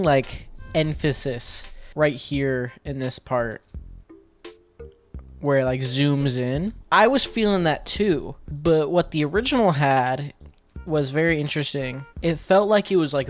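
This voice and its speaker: A man giving a casual, step-by-step commentary as a video editor breaking down his sound design work. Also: a little high. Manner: informal, explains step by step. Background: music tracks and sound effects being demonstrated.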